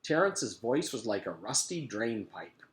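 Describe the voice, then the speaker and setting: A man, a teacher, reading a children's book aloud and pausing to explain it to his pupils. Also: mid-range voice, roomy sound. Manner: expressive, pauses to explain to pupils.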